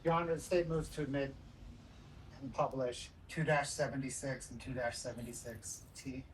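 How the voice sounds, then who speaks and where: A man's voice: mid-range voice, steady tone, normal speed. A man speaking as a prosecutor, formally addressing the court and questioning a witness. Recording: noisy courtroom audio, distant mic.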